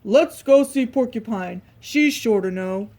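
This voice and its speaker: A woman voicing a big, hearty male bear who calls out loudly. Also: lively intonation, a little slow. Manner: loud booming exclamations.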